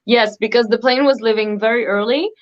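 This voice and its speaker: A woman's voice: on the high side, fairly quick, dull audio. A woman whose first languages are French and Moroccan Arabic, chatting as a guest singer in a casual live video interview, laughing often and using many fillers. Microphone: video call.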